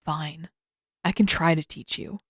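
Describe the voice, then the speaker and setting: A woman voicing a reserved, earnest teenage prince in an understated, measured and wry way for an audiobook story. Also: low-pitched, steady tone, breathy edge, no room sound, studio quality, pitched below the performer's own voice. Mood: dry, grudging.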